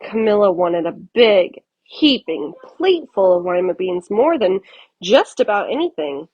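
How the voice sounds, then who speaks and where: A woman reading a children's story aloud with expressive, deliberate phrasing, as a storybook narrator. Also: medium pitch, slightly rough, no echo, dull audio.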